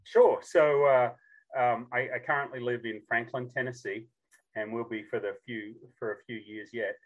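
A man's voice: mid-range voice, moderate pace, lo-fi sound. Jokey Australian storyteller, a man in his fifties. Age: 50s. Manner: jokey, animated retelling with quoted dialogue. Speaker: podcast guest. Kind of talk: casual storytelling.

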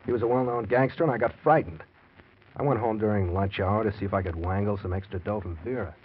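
A man's voice: lowish voice, fast, husky. A man playing a desperate, scheming husband who tells of his crime in slangy, hard-boiled 1940s radio drama style. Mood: brooding. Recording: muffled, noisy 1940s radio recording.

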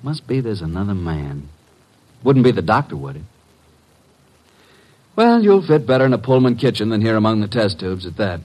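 A breezy American detective's legman from classic radio drama. His voice sits fairly low. He tosses out lines with flippant, wry ease, cocky and a little flirtatious. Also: sing-song, moderate pace, pauses often, darkish tone, rough recording. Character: breezy, wisecracking, flirtatious male detective's assistant. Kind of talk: old-time radio detective drama acting.

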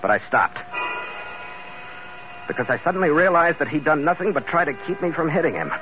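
An actor playing a tough, wry captain, narrating and speaking tersely in a hardboiled vintage radio drama. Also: male voice, fairly high pitch, big pitch swings, fairly quick, music in the background. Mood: tense, matter-of-fact. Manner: terse, sardonic. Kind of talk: 1940s-50s radio noir narration and acting. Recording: muffled vintage radio recording.

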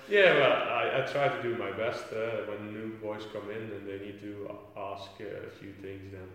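Flemish guy chatting in interview, lots of ums, monotone, boomy hall sound. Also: moderate pace, a little hiss or hum. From Belgium. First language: Flemish Dutch. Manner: thoughtful, many fillers and restarts. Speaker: footballer guest. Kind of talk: casual interview answers. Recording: echoey room from a distance.

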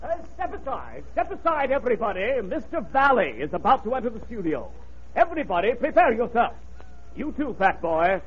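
A man's voice: very high voice, sing-song, fairly quick, husky, heavily acted. A man playing an officious, pompous business manager who speaks formally in an old radio comedy. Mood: pompous, commanding. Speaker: comic actor. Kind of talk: 1940s radio comedy acting. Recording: muffled old radio recording.

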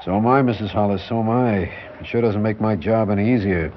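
A man acting in a classic radio drama as a gruff police lieutenant, dry, clipped and authoritative. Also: fairly low pitch, brisk, a little hiss or hum, natural voice. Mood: weary, official. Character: gruff, dryly sardonic male police lieutenant. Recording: dull vintage radio recording with music.

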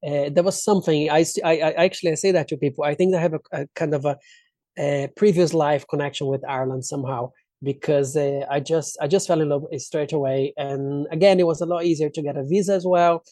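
A man's voice: on the high side, fairly even intonation, fairly quick, warm tone, dry recording, studio quality. A man from Brazil with a Brazilian accent and native Portuguese, telling personal stories casually as an interview guest and acting out what people said.

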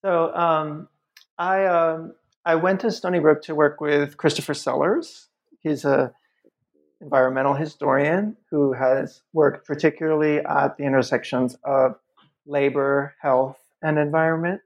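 Podcast guest, professor, creaky voice, hesitant with ums, dull recording. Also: male voice, on the high side, steady tone, unhurried. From upstate New York. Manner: thoughtful, many ums and restarts. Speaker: guest author; history professor. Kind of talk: conversational podcast interview. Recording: muffled lo-fi audio.

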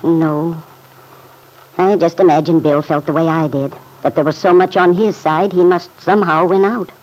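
An actress playing a kindly, thoughtful middle-aged farm mother in an old-time radio drama, speaking gently and politely with some hesitancy. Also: lowish voice, fairly even intonation, moderate pace, close to an everyday voice, rough recording. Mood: regretful, earnest.

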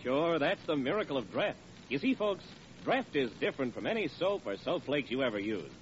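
Animated man pitching on old radio. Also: a little fast. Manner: assured, explanatory salesman. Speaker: commercial spokesman. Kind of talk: 1940s radio advertising pitch. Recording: muffled, faintly hissy vintage radio.

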